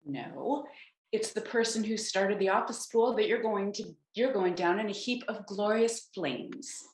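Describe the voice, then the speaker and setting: A woman's voice: medium pitch, unhurried, close to an everyday voice. In an echoey room, an imperious female boss, acted by a woman gives orders. She is curt, condescending and menacing, in the style of a comedy table read. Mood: cold, threatening. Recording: echoey room on video call.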